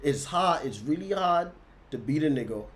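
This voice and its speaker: A man's voice: fairly high pitch, animated, normal speed. A man hosting a casual podcast, laid-back and emphatic. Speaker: podcast host.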